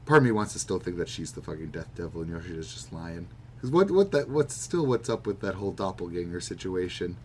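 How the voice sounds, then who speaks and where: Male podcast co-host, low voice, casual and opinionated, light background noise. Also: sing-song, brisk. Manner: opinionated, musing, swears freely. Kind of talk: casual chat about manga.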